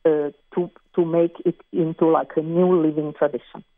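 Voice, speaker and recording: Croatian-accented musician on a tinny phone line. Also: female voice, deep voice, steady tone, normal speed, no echo. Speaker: guest musician. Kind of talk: interview over the phone.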